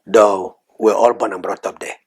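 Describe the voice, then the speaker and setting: A middle-aged man from Cameroon, a guest in an interview, talking in a measured, soft-spoken way with frequent hesitations. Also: lowish voice, steady tone, gravelly, slight background noise. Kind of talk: interview conversation.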